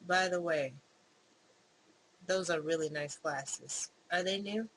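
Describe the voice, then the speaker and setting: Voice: medium pitch, slow, fairly bright tone, poor audio. A gamer voicing a suspicious young woman in a visual novel who asks pointed, testing questions. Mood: probing. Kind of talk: visual novel character reading. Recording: clear background noise.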